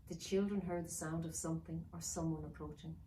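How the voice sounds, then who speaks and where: A woman's voice: sits low, steady tone, brisk, warm tone. A woman narrating a children's story expressively, as an author reading aloud. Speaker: author reading own book. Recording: roomy, distant mic with faint noise.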